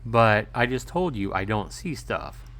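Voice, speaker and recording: A man's voice: lowish voice, expressive, measured pace, a bit bright. A man voicing an indignant, pleading male survivor, the defensive hero of a visual novel game. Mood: exasperated. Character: exasperated, defensive man, survivor in an empty world.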